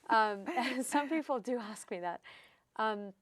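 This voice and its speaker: A woman's voice: a little high, slow, no echo, studio quality. A woman in her thirties from Australia with an Australian accent, a psychic medium telling her story in a casual chat and acting out the lines she quotes. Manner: chatty storyteller, acts out quotes.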